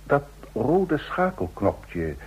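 An actor playing a shrewd, easygoing president in an old radio drama, speaking formally and deliberately. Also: male voice, a little high, big pitch swings, a little mellow, breathy edge, full character voice. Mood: measured, confiding. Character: shrewd, easygoing president of a large federation, a man. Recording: muffled old radio play recording.

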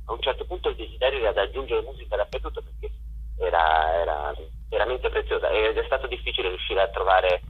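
A man's voice: on the low side, animated, quick pace, breathy edge, narrow, tinny audio. A man answering interview questions on the radio as a theatre director and actor, heard as if over a telephone.

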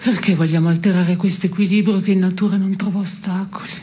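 A woman's voice: mid-range voice, steady tone, fast, dark tone, a little hiss or hum, lightly acted. An actress playing a dry, blunt woman in a philosophical theatre dialogue, terse and matter-of-fact. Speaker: stage actress.

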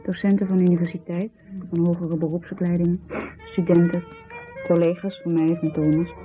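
An actress playing a distraught, bitter wife who recounts her story in an agitated, halting way, in naturalistic radio drama style. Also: on the low side, flat delivery, natural voice. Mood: agitated recounting. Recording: thin phone-like 1970s radio, music behind.

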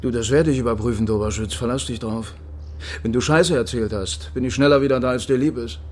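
A man's voice: medium pitch, close to an everyday voice. Man playing a sneering, menacing East German officer. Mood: threatening. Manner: taunting, sarcastic, drawn-out emphasis. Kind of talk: radio drama acting. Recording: music behind the voice.

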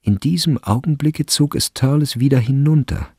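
A man's voice: mid-range voice, normal speed, darkish tone, slightly rough, no room sound, clean studio sound. A man reading scripted literary narration in a radio drama.

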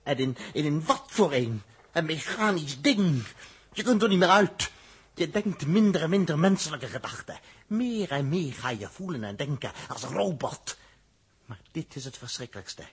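An actor playing a defiant astronaut in a 1960s radio drama, urgent and bossy, prone to angry outbursts. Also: male voice, a little high, lively intonation, normal speed, slightly rough, heavily acted. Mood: grave. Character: defiant male astronaut, Dirk.

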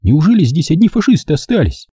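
A man's voice: a little high, sing-song, fast, dark tone, breathy edge, no echo, clean studio sound, pitched above the performer's own voice, heavily acted. A man voicing a young female partisan who argues earnestly.

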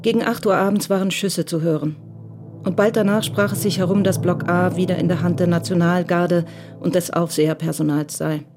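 A woman narrating a radio crime drama in a measured, even storytelling voice. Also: lowish voice, music in the background.

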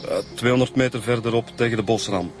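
An actor with a Flemish accent playing a matter-of-fact homicide detective in a naturalistic radio drama, reporting with small hesitations. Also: male voice, mid-range voice, fast talker, lightly acted. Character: male homicide detective, matter-of-fact, briefing his colleagues. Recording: music behind the voice.

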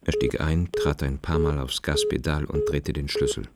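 A man's voice: rumbling low, fairly even intonation, normal speed, heavy background noise. A man reads literary narration for a radio play.

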